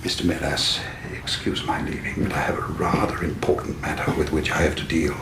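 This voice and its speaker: An actor playing a genial, indolent and superior British gentleman sleuth in a period radio drama, urbane and self-assured. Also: very deep voice, flat delivery, a little fast, noisy background. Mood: leisurely, assured.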